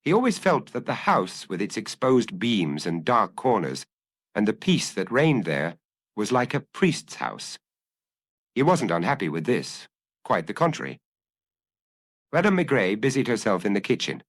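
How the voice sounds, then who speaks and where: Male audiobook narrator for detective fiction: low register, animated inflection, measured storytelling. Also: no echo, clean studio sound.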